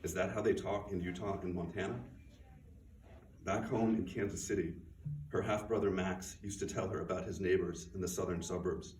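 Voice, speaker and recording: A man, an author, reading his own fiction aloud at a bookstore event in a measured, subdued way. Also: low-pitched, a little mellow. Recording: roomy, distant, faint noise.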